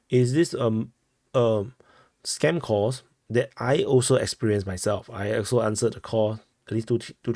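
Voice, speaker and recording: A man with a Singaporean accent giving informal news commentary as a host, halting and often rephrasing himself. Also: medium pitch, dark tone, no room sound, clean studio sound. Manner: halting, repeats and rephrases phrases.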